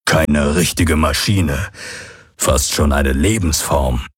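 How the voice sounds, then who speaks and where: A man reading an audiobook voices a grave male alien who tells of past events in a low, slow, husky voice. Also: no room sound, clean studio sound, heavily acted.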